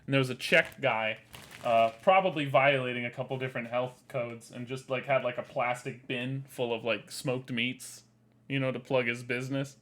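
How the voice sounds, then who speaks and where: Co-host, young man, twenties; bright forceful voice, loose crude banter, quick to laugh. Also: medium pitch, fairly even intonation. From Florida. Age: 20s. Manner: laughs often, crude and joking. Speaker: podcast co-host. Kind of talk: casual podcast banter.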